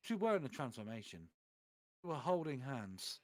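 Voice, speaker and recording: A man's voice: medium pitch, sing-song, normal speed, a little mellow. A man doing a theatrical, comedic reading of amateur fan fiction, putting on voices for the quotes.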